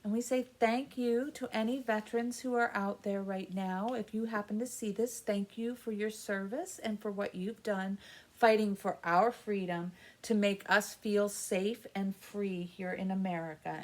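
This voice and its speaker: A woman's voice: mid-range voice, steady tone, unhurried. A woman hosting a children's story time, explaining things in simple, encouraging words addressed to young kids. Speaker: library story time host.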